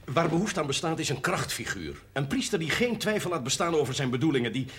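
A man's voice: a little high, very animated, fast, a bit bright, slight background noise. A man acting an eager, plain-spoken parish priest in a television period drama, deferential yet persuasive. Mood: insistent.

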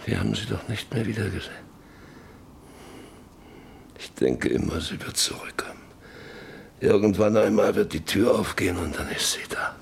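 A haunted older husband in a radio play, with a very deep, husky voice. He broods in halting phrases, wistful and at times close to a whisper. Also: full character voice. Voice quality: husky, gravelly. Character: haunted, wistful old husband waiting, remembering. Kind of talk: radio drama monologue.

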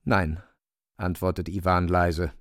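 A man's voice: low voice, level tone, takes it slowly, dry recording, clean studio sound. A man narrating a radio play from a script, a wry storyteller with colloquial asides.

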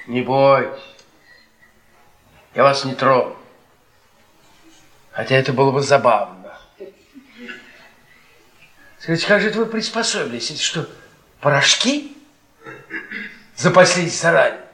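An actor playing a bitter, mocking middle-aged husband and defeated intellectual in a theatrical radio play, sardonic and needling. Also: male voice, mid-range voice, animated, a little slow, pauses often, pushed and bright, reverberant, rough recording. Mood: mocking, taunting.

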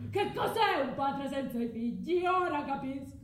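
Woman playing furious bereft husband, Calabrian accent, extremely high voice, anguished theatrical declamation, roomy echo. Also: expressive, moderate pace. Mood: accusing, anguished. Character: anguished, furious betrayed husband and father, pleading and cursing. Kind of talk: theatrical radio drama monologue. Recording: echoey room, faint background noise.

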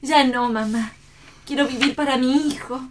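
An actress playing a tender, idealistic heroine in a radio play, earnest and dramatic. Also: female voice, high-pitched, moderate pace, a little hiss or hum, close to an everyday voice. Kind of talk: theatrical radio play reading.

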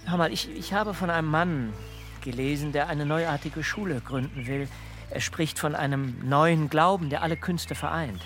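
An actor playing a thoughtful, persuasive male mentor in a radio drama, advising in deliberate, measured phrases. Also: fairly high pitch, fairly bright tone. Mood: grave, urgent. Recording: faint music behind the voice. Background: faint music.

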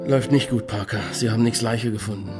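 An actor playing a burly, uneasy criminal accomplice in a radio drama, blunt and plain-spoken. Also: male voice, fairly low pitch, fairly even intonation, quick pace, natural voice. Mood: uneasy, practical. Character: Nelson, burly male bar owner and uneasy accomplice. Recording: music behind the voice.

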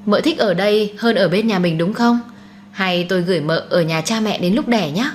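A woman's voice: medium pitch, normal speed, slight background noise, lightly acted. A woman voicing an earnest, devoted and resolute young husband in an audiobook melodrama. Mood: tender. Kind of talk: dramatised audiobook storytelling.

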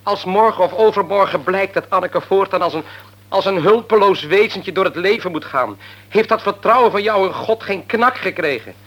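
An actor playing a middle-aged grieving father in a radio play, bitter and argumentative, sinking into quiet despair. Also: high-pitched, moderate pace. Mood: bitter, arguing.

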